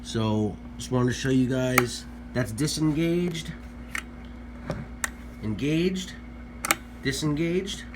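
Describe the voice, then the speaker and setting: A man's voice: medium pitch, noisy background. Laid-back man demoing guitar gear. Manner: relaxed, offhand, halting with fillers. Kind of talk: casual workbench demo. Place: workbench room with guitar. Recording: guitar playing under the voice.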